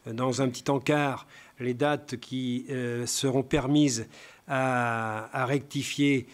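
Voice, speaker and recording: A man speaking as a local councillor, giving a formal, partly improvised presentation of a report in a halting way, often searching for words. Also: mid-range voice, steady tone. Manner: halting, hesitant delivery with frequent searching for words.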